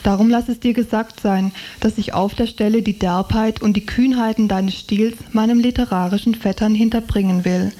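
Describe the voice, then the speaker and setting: A woman's voice: medium pitch, fairly even intonation, normal speed, few pauses, dark tone. A woman reading excerpts from a novel aloud in a literary style. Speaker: reader of book excerpts.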